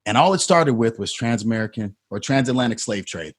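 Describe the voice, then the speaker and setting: A man on a podcast panel speaking earnestly and with emphasis, with a husky voice. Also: mid-range voice, lively intonation, a little fast. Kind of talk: panel discussion.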